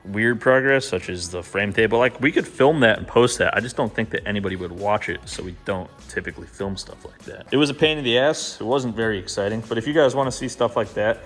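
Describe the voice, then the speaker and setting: Low-voiced man, casual car vlogger. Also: quick pace, few pauses, a bit bright. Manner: informal and slangy, swears casually. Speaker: host of a car build vlog. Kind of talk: casual, unscripted vlog talk to camera. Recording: music playing behind the voice. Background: background music.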